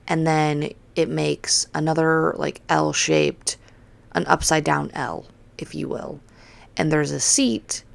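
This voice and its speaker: A woman in her thirties talks slowly through a casual solo podcast, her voice deeply gravelly and worn hoarse and congested. She is blunt and swears freely as she rants. Her pitch sits a little low. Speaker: podcast host.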